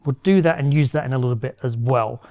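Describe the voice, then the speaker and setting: A man's voice: mid-range voice, quick pace, darkish tone. A man giving a casual, upbeat product review walkthrough as a tech reviewer.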